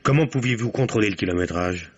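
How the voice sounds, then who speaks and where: An actor playing a sardonic middle-aged police commissioner in a French radio mystery, with a dry, ironic delivery. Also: male voice, on the low side, expressive, fast, slightly rough. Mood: questioning. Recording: muffled vintage radio recording.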